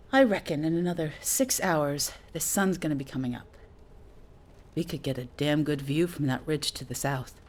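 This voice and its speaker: A woman's voice: on the low side, natural voice. An actress playing a stranded astronaut and mother, scared, bitter and blunt, in a naturalistic audio drama. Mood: weary, resigned. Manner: blunt, sweary, sardonic.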